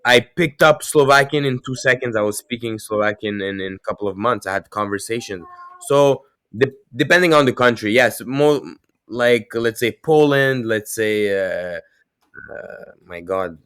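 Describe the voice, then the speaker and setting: A man from Israel whose first language is Russian, answering interview questions in a halting way, with many restarts. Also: medium pitch, a bit bright, smooth, dry recording, studio quality. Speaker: guest, hockey player.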